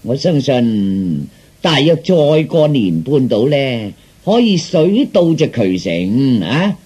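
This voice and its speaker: A man voicing a glib, flattering fortune teller in Cantonese, with theatrical sales patter. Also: medium pitch, slow pace, husky, exaggerated voice. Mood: scheming, persuasive. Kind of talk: Cantonese storytelling performance.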